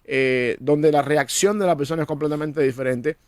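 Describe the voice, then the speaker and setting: A man's voice: on the high side, normal speed, darkish tone, no room sound. A man from Cuba, a pastor, talking earnestly in a discussion with a preacher's cadence and frequent restarts. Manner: earnest, preacherly, repeats words when starting. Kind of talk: conversational discussion.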